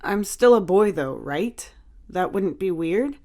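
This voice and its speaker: A woman's voice: mid-range voice, lively intonation, slow, mellow. A shy teenage boy voiced by a woman in an audiobook. He is self-conscious and a little sullen, answering in short, reluctant, hesitant phrases. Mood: unsure. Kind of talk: audiobook character dialogue.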